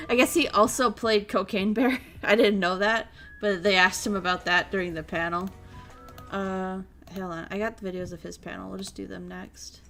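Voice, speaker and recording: A young woman chatting on her stream, telling stories with lots of animation and frequent laughter. Her voice sits at an ordinary pitch, and faint music plays underneath. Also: normal speed. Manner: animated storytelling, laughs often, reads chat. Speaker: VTuber streamer. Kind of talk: casual chat stream recap.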